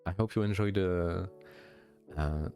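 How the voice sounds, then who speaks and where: A young man with a light French accent, a streamer chatting casually with viewers, relaxed and hesitant with many fillers and restarts. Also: low-pitched, unhurried, soft background music. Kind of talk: casual chat answering viewers.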